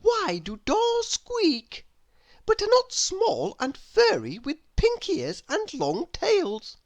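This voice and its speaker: A high, swooping little girl's voice acted out by a woman, endlessly inquisitive. She is a storybook character who puts each question slowly, in a wondering sing-song. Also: huge pitch swings, full character voice. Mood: curious, wondering.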